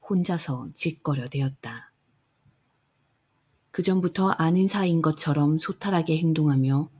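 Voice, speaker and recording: Soft-spoken woman for a sleep audiobook, husky and calm. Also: deep voice. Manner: soft and unhurried. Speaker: audiobook narrator. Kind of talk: calm bedtime audiobook reading.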